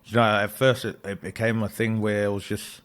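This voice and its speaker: A young man from New Zealand, a rugby league player talking as a guest, soft-spoken and hesitant with many pauses. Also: lowish voice, little pitch movement. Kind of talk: casual interview chat.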